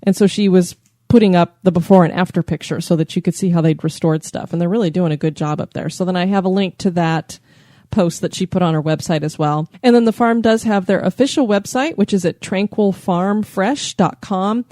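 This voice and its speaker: A woman hosting a podcast in casual chat, chatty and quick to laugh. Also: fairly low pitch, dark tone, no echo, clean studio sound. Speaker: podcast host.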